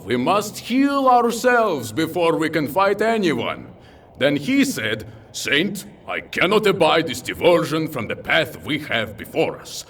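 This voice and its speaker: A man playing a hearty, loyal warrior titan in an audio drama, with a booming, theatrical delivery. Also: a bit bright. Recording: distant mic, faint background noise.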